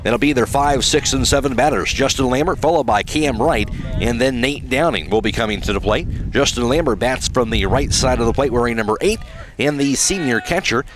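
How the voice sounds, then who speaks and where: American man, baseball play-by-play announcer, live radio call, medium pitch, noisy outdoor broadcast. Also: a little fast. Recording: outdoor ballpark broadcast with clear background noise.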